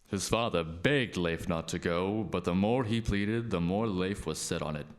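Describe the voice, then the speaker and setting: A man's voice: low-pitched, moderate pace, close to an everyday voice. A man playing a storyteller, narrating a scripted folktale. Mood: storytelling.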